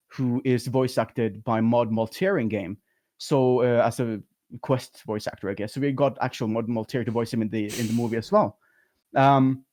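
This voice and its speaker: A man's voice: medium pitch, darkish tone. A man with a light voice, a talkative guest in a casual interview who rambles and laughs often. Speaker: guest, YouTube content creator.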